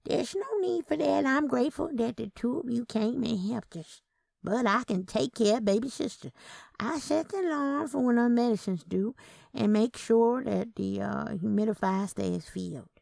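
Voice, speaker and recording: A woman voicing a tiny, genteel, stubborn elderly Southern aunt in an audio story, gentle and folksy. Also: fairly high pitch, normal speed, creaky, dry recording, voice pushed up. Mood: firm, reassuring.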